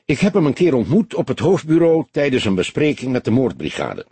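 A man's voice: mid-range voice, a little mellow, breathy edge, dry recording, full character voice. A man reading the part of a young, keen police detective and sidekick in an audiobook, earnest and informative.

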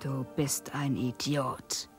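A woman's voice: very deep voice, flat delivery, slow, faint music behind. An actress playing a young woman who dreams of a little house, marriage and children. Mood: indignant. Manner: sweet, longing, then indignant. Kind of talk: radio drama acting.

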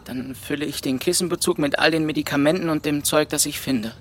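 Young man acting a hesitant, high-voiced teenage boy. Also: fairly even intonation, fairly quick, slight background noise, lightly acted. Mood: uneasy. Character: chubby thirteen-year-old boy, timid, reluctant accomplice. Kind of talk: German radio drama acting.

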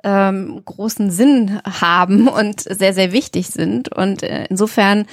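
Podcast co-host: woman, mid-range creaky voice, conversational, thinking as she speaks. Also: medium pitch, fairly even intonation, slow, no room sound, clean studio sound.

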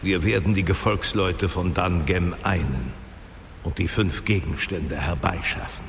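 An actor playing a grave, devoted leader of a dark lord's followers in a fantasy radio drama, with a very deep, gravelly voice and solemn delivery. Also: male voice, slight background noise. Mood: solemn gratitude. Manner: slow, solemn. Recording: long cavernous reverb.

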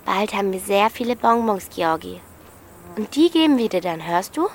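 A woman's voice: mid-range voice, sing-song, normal speed, slight background noise. A woman playing a blunt, bossy thirteen-year-old girl in a naturalistic radio drama. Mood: reassuring. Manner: blunt, colloquial, questioning.

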